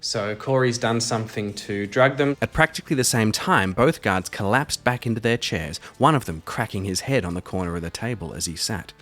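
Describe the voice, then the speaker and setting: A man's voice: on the low side, fairly quick, few pauses, a bit bright. A man hosting a solo role-playing audio drama, moving between scripted story narration and casual play-by-play of the game. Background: music.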